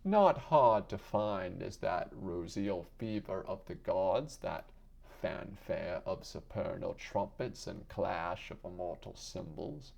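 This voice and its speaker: Deep, slow, creaky-voiced man reading solemnly. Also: darkish tone. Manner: solemn, deliberate, theatrical delivery. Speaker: audiobook reader. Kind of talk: dramatic literary narration.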